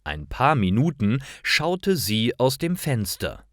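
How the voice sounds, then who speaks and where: A man reading scripted stories for language learners in a careful, deliberate manner. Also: medium pitch, very animated, a bit bright, no room sound, studio quality. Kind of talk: scripted storytelling narration for learners.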